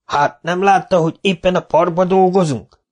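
A man's voice: high-pitched, fairly even intonation, darkish tone, voice pushed up, close to an everyday voice. A man doing a blustering young bully foreman. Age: young adult. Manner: blustering, strutting.

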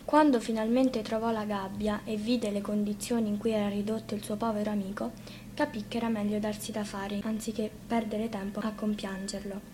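A woman reading a fairy tale aloud as an expressive storybook narrator. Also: medium pitch, fairly quick. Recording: faint noise, live radio reading.

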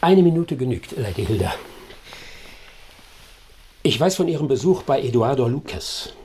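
An actor playing a shrewd, composed gentleman detective in a radio drama, speaking formally and with insistent authority. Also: mid-range voice, big pitch swings, normal speed, slight background noise, close to an everyday voice. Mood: confident, accusing. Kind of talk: German radio drama acting.

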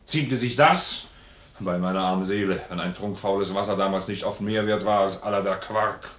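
An actor playing a loyal, plain-spoken, stubbornly generous sergeant in a 1950s German radio play, hearty and earnest. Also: male voice, deep voice, normal speed, fairly bright tone. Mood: earnest, insistent. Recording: roomy vintage radio studio recording.

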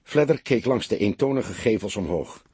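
A man narrating an audiobook story from a script in a measured, expressive way. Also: lowish voice, husky, no room sound.

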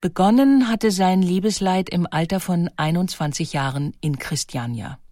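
A woman reading scripted documentary narration in a measured, articulate way. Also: fairly low pitch, dark tone, no room sound, studio quality.

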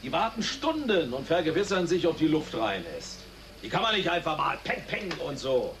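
A blustering, high-pitched rich businessman. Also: sing-song, normal speed, a crowd in the background. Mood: jovial. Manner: blustering, emphatic, incredulous. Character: blustering rich property developer and hunter, hot-tempered, condescending. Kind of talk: German children's radio play acting. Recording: very noisy radio play tape.

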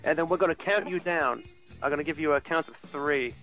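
A man with a fairly high voice speaks on a dull phone line with music in the mix. He gives casual instructions like a radio host, polite and a little hesitant. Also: a little fast, bright, forceful tone. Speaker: prank caller posing as radio station host. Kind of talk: casual directing over a phone call. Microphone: phone call. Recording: muffled lo-fi phone call with music.

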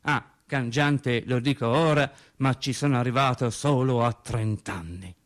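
A man acting a theatrical radio monologue as an agitated, rambling working man who recalls his childhood in halting, repetitive colloquial speech. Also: mid-range voice, natural voice. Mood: rambling, bemused. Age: adult over thirty. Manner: halting, repetitive, colloquial storytelling with stammered restarts.

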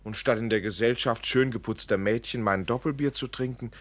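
A man's voice: medium pitch, a little fast, a bit bright, lightly acted. A man playing a clumsy, dreamy young student in a radio play, theatrical and prone to lament. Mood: gloomy self-pity. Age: young adult. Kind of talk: German radio play acting.

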